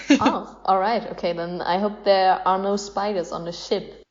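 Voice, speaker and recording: A woman's voice: mid-range voice, steady tone, a little slow, lo-fi sound. A woman hosting a podcast, asking questions in a casual interview chat. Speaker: podcast host interviewing cast members.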